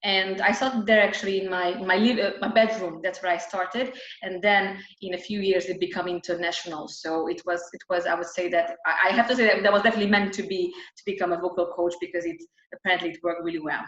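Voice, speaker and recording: A woman's voice: lowish voice, fairly even intonation, fast, a bit bright. Woman in a roomy, dull-sounding recording. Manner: frequent restarts and fillers, nonnative phrasing. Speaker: vocal coach, interview guest. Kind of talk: casual reflective interview talk.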